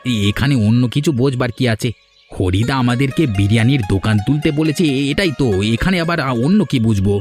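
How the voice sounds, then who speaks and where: A man's voice: mid-range voice, lively intonation, quick pace, a little mellow, soft background music, close to an everyday voice. A man playing a simple-minded, greedy village workman in a cartoon, an eager sidekick. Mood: puzzled, content. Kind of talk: cartoon voice acting.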